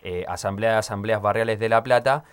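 A man's voice: fairly low pitch, fairly quick, clean tone. A Chilean man interviewing a guest on community radio, hesitant and full of filler sounds.

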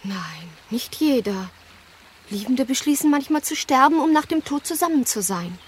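An actress playing an enigmatic young noblewoman in a radio drama, cryptic and insistent, with a breathy, sometimes hushed voice. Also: high voice, lively intonation, moderate pace. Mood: intimate, probing. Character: Camilla, enigmatic young noblewoman with hidden ancient past. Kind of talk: scripted German radio drama acting.

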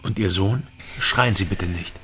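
An actor in a radio drama playing a stubborn East German investigator, speaking in a restrained, matter-of-fact way. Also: male voice, low-pitched, unhurried, bright, forceful tone. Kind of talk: radio drama acting and narration.

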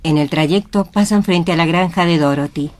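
A woman's voice: fairly low pitch, normal speed, darkish tone. A woman reading scripted, dramatic narration for a radio play.

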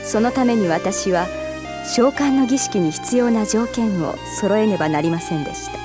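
An actress playing a devoted, otherworldly woman who narrates an audio drama in a measured, solemn, formal manner. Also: mid-range voice, expressive, lightly acted. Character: devoted otherworldly female narrator set on resurrecting a fallen swordsman. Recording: music bed behind the voice.

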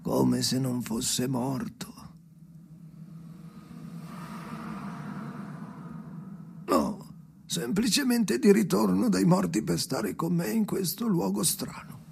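Haunted, restless son addressing his dead father: very creaky, theatrical radio drama monologue over a noisy background. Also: high-pitched, lively intonation, full character voice. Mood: haunted, brooding. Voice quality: gravelly, creaky. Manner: theatrical, halting, obsessive, swinging from murmurs to shouts.

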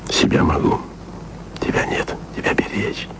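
A man's voice: a little slow, background noise, lightly acted. An actor playing an aging émigré radio man in a radio play, speaking tenderly and confidingly in a half-whisper to his beloved. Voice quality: very deep, gravelly. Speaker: radio broadcaster leaving taped messages. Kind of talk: intimate radio play monologue.

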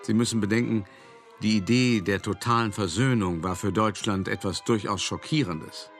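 Low-voiced, worldly old art dealer played by a man. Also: moderate pace, close to an everyday voice. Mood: reflective. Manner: conversational, wry, addressing the listener directly. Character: worldly, cultured old art dealer reminiscing about his schemes. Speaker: narrator. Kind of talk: first-person radio play monologue. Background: music.

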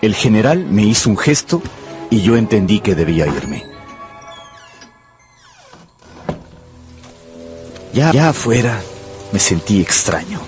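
An actor playing an anxious gambler who narrates his own ruin in a radio novel, brooding and intense, with dramatic pauses. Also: fairly low pitch, very animated, a little mellow, faint music behind. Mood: dejected, urgent.

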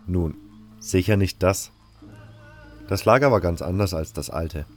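A man's voice: sits low, unhurried. A man narrating a scripted fantasy audio drama in the first person as a storyteller. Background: music.

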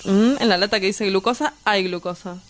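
A woman's voice: mid-range voice, a little fast, slight background noise, lightly acted. Brash young Argentine drug dealer played by a woman. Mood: offhand, boastful. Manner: slangy, teasing, insolent. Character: reckless young male small-time drug dealer, brash, cynical, provocative. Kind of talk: scripted radio drama acting.

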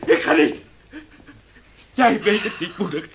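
An actor playing a terrified young sailor in a Dutch radio play, pleading with a strained, husky voice and repeating himself in fear. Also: male voice, sits high, a little slow, a little hiss or hum. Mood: frightened, insistent.

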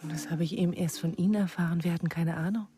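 Guarded wife, low mellow voice. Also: female voice, steady tone, fast, husky, soft background music. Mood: defensive. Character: guarded, cool well-to-do wife and mother. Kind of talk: radio crime drama dialogue.

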